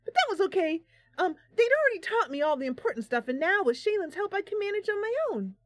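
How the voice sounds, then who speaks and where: A woman's voice: very high-pitched, very animated, fast talker, no echo, studio quality, pitched above the performer's own voice. A woman voicing an eager, starry-eyed young female bard in a fantasy game, telling things in a gushing, emphatic way. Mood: cheerful, proud.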